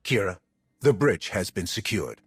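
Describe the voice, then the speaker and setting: An actor playing a grave, resolute Sith warrior in a video game, with a low husky voice. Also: male voice, very animated, a little slow, a bit bright, dry recording, heavily acted. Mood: grim resolve. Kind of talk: dramatic game acting.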